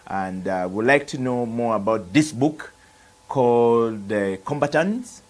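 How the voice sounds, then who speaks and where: A man's voice: medium pitch, expressive, unhurried. A man hosting a television book interview, making formal introductions and asking questions with frequent uhs. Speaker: TV show host.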